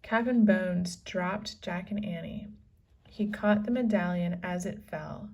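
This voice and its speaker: Very slow woman reading a children's story. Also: on the low side, darkish tone, distant mic. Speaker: storybook reader. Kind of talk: scripted children's story narration.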